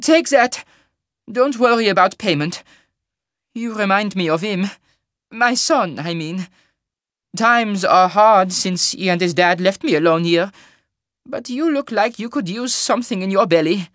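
A man puts on an old woman baker from a fantasy audiobook, raised high in pitch and slow in delivery. She is plain-spoken and kindly, with grief in her plaintive voice and a strong accent. The recording is close and dry. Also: a bit bright, dry recording. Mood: gently insistent. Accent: strong foreign-sounding fantasy accent.

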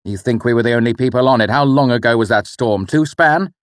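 A man's voice: medium pitch, fairly quick, no echo, voice pushed up, close to an everyday voice. A man voicing an irritable father, a traveling actor, grumbling about delays on the road. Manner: grumbling, exasperated.

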